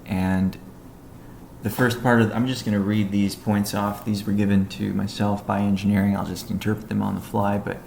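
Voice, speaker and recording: A man with a low, creaky voice delivers a technical business update on a conference call. His delivery is measured and halting, with little melody. The sound is roomy and echoey. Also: flat delivery, a little mellow. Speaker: company executive giving update. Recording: echoey room, faint background noise.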